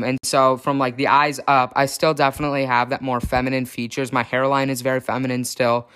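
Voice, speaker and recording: A young man from the Chicago area speaks straight to his viewers in a relaxed personal vlog. His voice is smooth and resonant, and it sits at a middle pitch. He is open and upbeat, with a self-deprecating sense of humor, and his voice is in the middle of changing, so it cracks now and then. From Chicago area, USA. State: voice changing on testosterone, occasional cracks. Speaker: vlogger.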